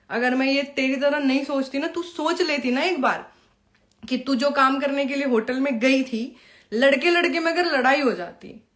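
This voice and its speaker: High-pitched woman in a scolding rant. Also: fairly bright tone. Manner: scolding, confrontational, taunting rhetorical questions. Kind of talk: angry direct-address rant to an opponent.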